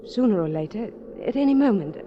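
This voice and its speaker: An actress playing an earnest, secretly desperate married woman climber in a 1940s radio drama, with hushed pleading and dramatic pauses. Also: mid-range voice, lively intonation, natural voice. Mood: urgent, confessing. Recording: muffled, noisy 1940s radio recording.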